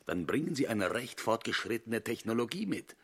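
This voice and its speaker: Thoughtful, husky male sceptic. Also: mid-range voice, very animated, normal speed. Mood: grave. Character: sceptical, thoughtful male astronaut reasoning about alien intelligences. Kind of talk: German radio play acting.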